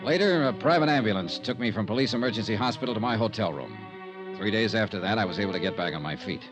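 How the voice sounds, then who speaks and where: A man's voice: on the low side, expressive, fast, over music, lightly acted. An actor playing a tough, dogged insurance investigator in an old-time radio drama, terse and dry as he narrates and questions. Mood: grim. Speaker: lead detective and narrator. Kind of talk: hard-boiled 1950s radio detective acting.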